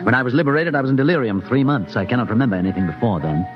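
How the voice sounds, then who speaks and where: A man's voice: mid-range voice, lively intonation, racing, music in the background, lightly acted. A man playing a formal, restrained scientist in a 1950s radio drama, on a dull old broadcast recording. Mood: somber. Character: reserved middle-aged European scientist.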